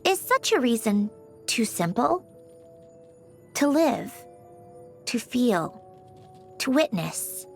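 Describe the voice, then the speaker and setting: A poised, gently haughty young lady from a video game. Her voice sits fairly high and moves in expressive, lilting arcs. She speaks formally and with measured eloquence, thoughtful and precise, with music playing behind her. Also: creaky, heavily acted. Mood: wistful, earnest. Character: composed, refined young woman, precise, reflective, gently haughty. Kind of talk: scripted character voice lines.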